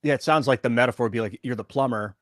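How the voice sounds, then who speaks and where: Halting male podcast host, very dry sound. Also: mid-range voice, dry recording. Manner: halting, searching for words, many fillers. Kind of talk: casual interview questions. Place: private closed room.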